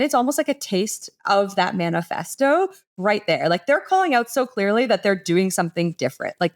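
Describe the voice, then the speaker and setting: Enthusiastic woman presenting conversationally. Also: medium pitch. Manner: enthusiastic, explanatory, casual phrasing with "like" and "super". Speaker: podcast panelist presenting a case study.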